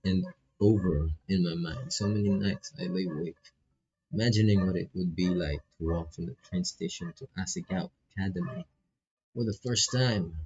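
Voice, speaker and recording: A man's voice: sits low, a little mellow, slightly rough. A man who is not a native English speaker reads game text aloud as a streamer, with casual asides and stumbles over phrases. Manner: stumbles and repeats phrases while reading. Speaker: streamer playing a visual novel. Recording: dull, lo-fi microphone sound.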